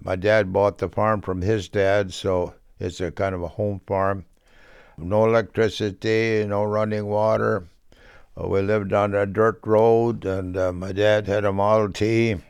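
Gravelly centenarian Midwestern man. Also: on the low side, level tone, darkish tone, no echo. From rural Minnesota. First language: Norwegian. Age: about 100. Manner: halting, folksy storytelling. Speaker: interviewee, auctioneer. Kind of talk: recorded reminiscence.

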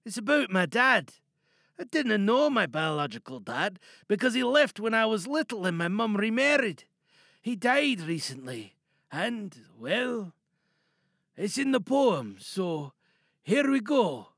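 A man's voice: sits high, sing-song, unhurried, vocal fry, a bit bright, dry recording, studio quality, pitched above the performer's own voice, exaggerated voice. A man playing a shy, hurt student reading aloud to a class in an audio monologue, hesitant and apologetic, holding anger under strained politeness. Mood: nervous.

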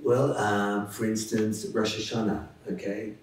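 A man's voice: on the low side, little pitch movement, measured pace, slight background noise. A middle-aged Australian man telling personal stories as an interview guest, easygoing with small chuckles and restarts. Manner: easygoing, chuckles now and then, many fillers and restarts. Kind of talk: relaxed personal storytelling. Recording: echoey room, distant mic, faint music.

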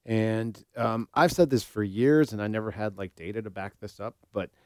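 Low-voiced man chatting as podcast co-host. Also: steady tone, moderate pace, a little mellow, dry recording, studio quality. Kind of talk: casual discussion.

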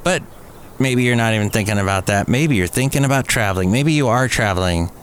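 A man's voice: on the low side, expressive, a little fast. Male podcast host over background noise. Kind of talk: reading news items with casual asides.

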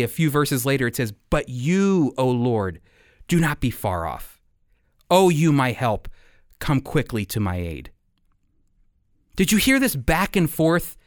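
A man's voice: fairly high pitch, no room sound, clean studio sound. A man preaching a sermon and reading scripture aloud in an earnest, emphatic manner with dramatic phrasing.

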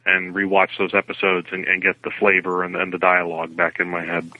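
A man answering interview questions as a guest author over a remote call, explaining things in articulate, measured phrases. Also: sits low, completely flat delivery, a little hiss or hum. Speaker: novelist guest. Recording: phone-like narrow band, dry.

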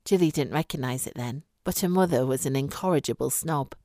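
A woman's voice: extremely low pitch, a little fast, warm tone, no room sound, studio quality. A woman reading a literary short story aloud as scripted narration. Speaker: story reader.

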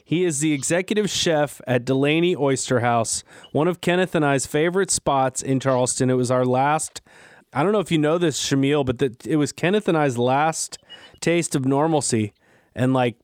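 A man's voice: a little high, moderate pace, no room sound, studio quality. A man hosting a podcast, chatting in an upbeat, casual way as he introduces the episode and his guest. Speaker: podcast host.

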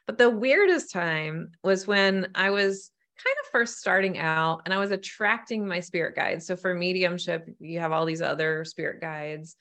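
Woman, lowish pitch, spiritual medium, casual podcast chat, animated storyteller, acts out dialogue. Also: moderate pace, hardly any pauses.

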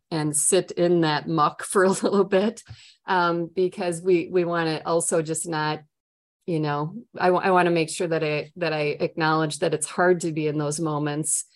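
A woman's voice: sits low, fairly even intonation, moderate pace. A middle-aged woman with a Minnesotan accent talks conversationally as an interview guest, candid and chatty with rambling asides. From Minnesota, United States. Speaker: trainer and coach for families, interview guest.

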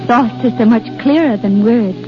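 A woman acts a tender young sweetheart, romantic and unafraid. The voice sits fairly high, with earnest 1930s stage-like delivery. The old radio sound is muffled, with music behind. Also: normal speed, close to an everyday voice. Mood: dreamy tenderness. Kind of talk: 1930s radio drama acting.

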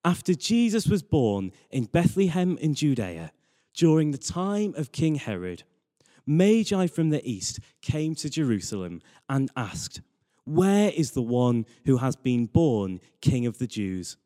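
A man's voice: medium pitch, very animated, a little slow, dark tone. A young British man giving an earnest, conversational sermon as a church preacher. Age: young adult. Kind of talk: sermon with Bible reading. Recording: long hall reverb.

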